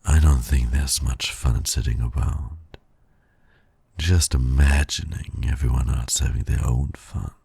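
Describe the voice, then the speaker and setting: A man playing a suave, flirtatious villain who teases and courts his nemesis in an intimate ASMR roleplay, with a husky, gravelly, breathy voice. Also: very deep voice, fairly even intonation, no echo, heavily acted. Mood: coaxing, persuasive. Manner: slow, breathy, suave teasing with soft chuckles.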